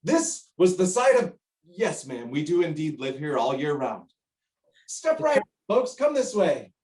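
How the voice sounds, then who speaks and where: A man's voice: fairly high pitch, big pitch swings, unhurried, a little mellow, roomy sound, distant mic. An actor in a stage play playing a chatty, easily sidetracked Alaskan roadhouse tour guide who heartily herds his customers along. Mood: hearty, beckoning. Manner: rambling, easily sidetracked, hearty when calling folks.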